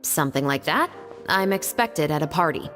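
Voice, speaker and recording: An actress playing a cool, sardonic patrol captain in a video game, speaking in a casual, understated way. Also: female voice, deep voice, lively intonation, moderate pace. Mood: dry, casual. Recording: long reverb, music underneath.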